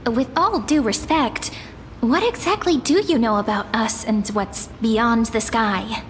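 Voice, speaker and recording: Actress as a high-voiced, candid young girl adventurer. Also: measured pace, a little hiss or hum, lightly acted. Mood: skeptical. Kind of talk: scripted fantasy game dialogue.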